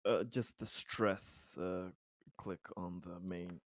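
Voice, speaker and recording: A man's voice: low-pitched, fairly even intonation, slow, dry recording, studio quality. A man playing a tabletop game, talking casually with the group.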